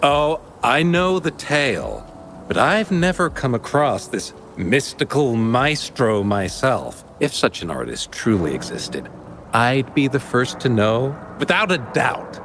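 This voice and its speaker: An actor voicing a friendly, easygoing merchant in a video game, teasing and lively. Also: male voice, mid-range voice, slow pace, noisy background. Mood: musing.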